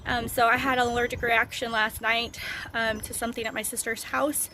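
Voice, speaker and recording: A hoarse woman chats through her daily vlog, her voice sitting a little high. She wanders from thought to thought with lots of ums. It is a noisy phone recording. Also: little pitch movement, brisk. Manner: chatty, rambling with many ums. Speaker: vlogger. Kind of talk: casual daily vlog to camera. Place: outdoors on a rural road, wind.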